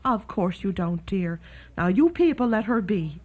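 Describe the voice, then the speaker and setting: A lively, motherly landlady. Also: mid-range voice, measured pace. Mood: sympathetic. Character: motherly, scolding boarding-house landlady, kindly and blunt. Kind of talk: radio drama acting.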